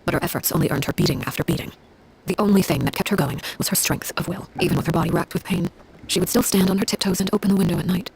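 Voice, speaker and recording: An actress playing a cold, composed woman of high rank in a video game, telling grim history in a measured, formal, unsentimental way. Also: darkish tone, slight background noise, close to an everyday voice, rough recording. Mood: somber, measured. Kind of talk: scripted dramatic game dialogue. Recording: music behind the voice.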